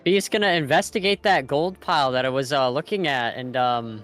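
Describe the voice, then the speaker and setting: Mid-pitched man in casual game chat. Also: fairly quick, a bit bright. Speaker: tabletop game player. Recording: faint music underneath. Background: faint music.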